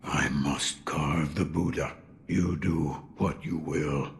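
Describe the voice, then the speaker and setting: An actor playing a weary, sardonic old hermit sculptor in a video game, blunt and cryptic, with a gravelly voice. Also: male voice, fairly even intonation, takes it slowly, full character voice. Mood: dry, gruff. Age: older. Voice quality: gravelly, low. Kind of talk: video game cutscene acting.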